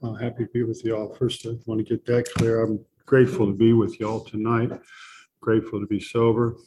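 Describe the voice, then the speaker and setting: A soft-spoken man sharing his recovery story at a support meeting, halting often with pauses and fillers. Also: on the low side, flat delivery, background noise. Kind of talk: personal recovery share.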